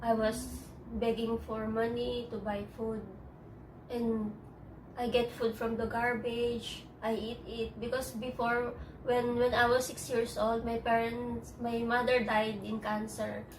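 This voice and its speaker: A Filipino woman with a Tagalog-native accent, speaking English in a halting, hesitant way with many restarts in a personal interview. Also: fairly high pitch, level tone, slight background noise. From Philippines. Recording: echoey room, distant mic.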